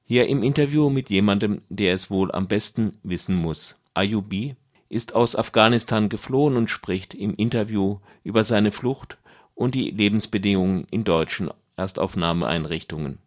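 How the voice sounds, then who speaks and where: A man's voice: lowish voice, moderate pace. A man presenting a radio magazine, reading scripted narration.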